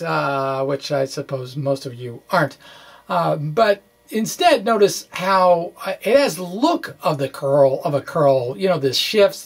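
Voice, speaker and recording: A man giving an informal physics lecture, thinking aloud haltingly with folksy, colloquial asides. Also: fairly high pitch, creaky. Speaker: physics explainer presenting his own blog.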